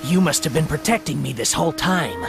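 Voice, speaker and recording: Young hedgehog hero, high and lively, over loud game music. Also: male voice, fairly quick, creaky. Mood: sincere. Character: young male hedgehog hero, sincere here.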